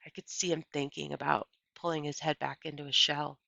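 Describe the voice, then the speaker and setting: A woman reads a children's story aloud with lots of expression. Her voice is bright and on the lower side, rising and falling freely. The recording is very dry and a little dull. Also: little pitch movement, a little fast, vocal fry, no room sound. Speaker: story reader. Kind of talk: expressive children's audiobook narration.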